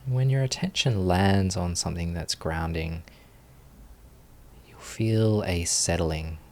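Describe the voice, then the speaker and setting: Deep voiced guy doing guided meditation, really slow n calm, lots of pauses. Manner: calm, soothing, with long pauses. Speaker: meditation teacher.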